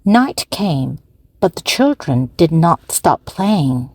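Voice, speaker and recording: Slow, careful woman storyteller. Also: low voice, very animated, dark tone, no room sound. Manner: slow, careful, evenly paced reading. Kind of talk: slow read-aloud for language learners.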